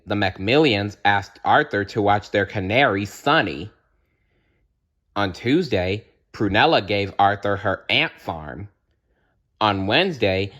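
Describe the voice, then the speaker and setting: A man reading a children's story aloud as narrator, with expressive delivery. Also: on the low side, lo-fi sound. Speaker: storybook reader.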